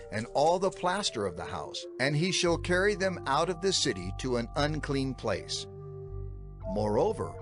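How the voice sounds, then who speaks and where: A man narrating scripture from a text in a measured, formal way, as the reader of a dramatized audio production. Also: mid-range voice. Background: music.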